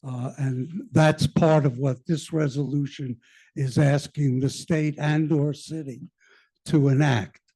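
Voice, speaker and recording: A man with a mellow, fairly high voice explains a resolution at a community board meeting. He speaks slowly and deliberately. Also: fairly even intonation. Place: meeting hall.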